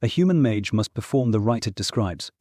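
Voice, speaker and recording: Scheming ex-god uncle, acted by a man: imperious, persuasive, dark-toned and husky, hungry for old glory, fantasy audiobook. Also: fairly low pitch, lively intonation, fairly quick, no room sound, clean studio sound. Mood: grudging. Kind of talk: full-cast fantasy audiobook acting.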